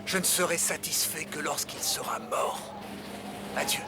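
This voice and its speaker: A man voicing a brave, earnest young knightly hero in a French animated adventure dub, speaking formally and resolutely. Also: on the high side, measured pace, music in the background.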